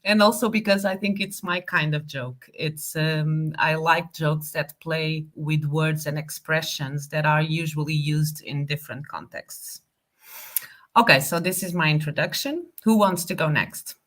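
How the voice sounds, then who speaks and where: Portuguese-born woman host, low voice, hesitant and thoughtful, video call. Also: unhurried, fairly bright tone. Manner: hesitant, thoughtful, with many fillers. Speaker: discussion moderator. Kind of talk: online group discussion.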